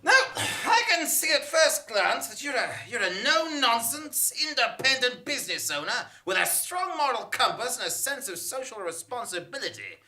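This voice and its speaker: A woman's voice: a little high, very animated, normal speed, few pauses, pushed and bright. A woman playing a bumbling, bashful cowboy drifter with stammering bravado in a comic space western radio play. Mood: flustered, smitten. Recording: faint noise, live theatre performance.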